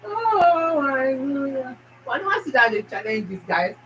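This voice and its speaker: A Nigerian-accented woman talks straight to her viewers in a fast, emphatic way, often repeating herself. Her voice sits a little high. She sounds set back from the mic, with faint noise behind her. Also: sing-song, a bit bright, recorded from a distance, poor audio. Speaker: YouTube channel host. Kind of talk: casual motivational talk to viewers.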